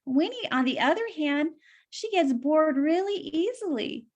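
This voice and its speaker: A woman with a high voice tells a children's story aloud. She stops to explain things in simple words and asks her young listeners questions. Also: lively intonation, a little slow, a little mellow, highs cut off.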